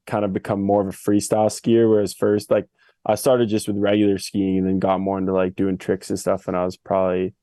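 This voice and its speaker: A young man who grew up in Switzerland, chatting casually as an interview guest in a relaxed, slangy way full of fillers. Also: lowish voice, dry recording, clean studio sound. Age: young adult. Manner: laid-back, filler-heavy, slangy. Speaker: guest, Olympic freestyle skier. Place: family home in Switzerland.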